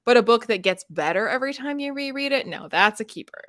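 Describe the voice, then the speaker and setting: A woman's voice: a little high, lively intonation, quick pace, fairly bright tone, dry recording, studio quality. A woman hosting a casual book discussion, opinionated and sweary, peppering her talk with "like" and acting out imagined reactions. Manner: frequent "like", sweary, acts out imagined reactions in quotes. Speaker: host of a book readalong discussion. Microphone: close microphone on a live video chat.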